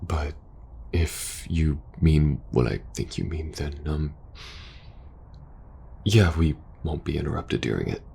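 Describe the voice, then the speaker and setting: A man playing a devoted, anxious young soldier in an intimate audio roleplay, speaking in a hushed, hesitant, tender way. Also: extremely low pitch, slow pace, gravelly, long pauses, a little hiss or hum, exaggerated voice. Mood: shy, awkward.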